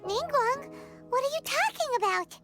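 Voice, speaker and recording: A woman voicing a tiny excitable fairy-like female companion who speaks of herself in the third person. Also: very high-pitched, normal speed, music in the background. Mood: excited. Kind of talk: game cutscene voice acting.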